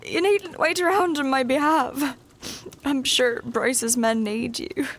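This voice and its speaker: A woman's voice: high-pitched, slow pace, fairly bright tone, slight background noise, heavily acted. An actress playing a proud, weary and plain-spoken female innkeeper in a fantasy audio drama. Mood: wounded disbelief. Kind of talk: audio fiction acting.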